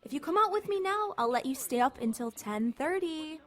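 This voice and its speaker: Snarky high-voiced teenage girl. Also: lively intonation, moderate pace. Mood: coaxing. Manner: sarcastic, theatrical. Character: snarky, dramatic teenage girl, big sister, lovesick. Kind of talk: audio drama acting.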